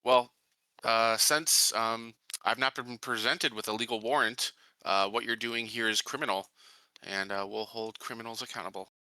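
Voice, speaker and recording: A man talking casually as a player in a tabletop roleplaying game, halting and questioning as he goes. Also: medium pitch, fairly even intonation, sharp tone, clear, resonant tone, no room sound, poor audio.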